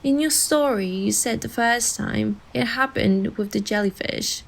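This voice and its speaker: A woman playing a curious young woman with a gently probing manner in a bedtime audio drama. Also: a little high, measured pace, vocal fry. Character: curious young woman listening to a companion's story.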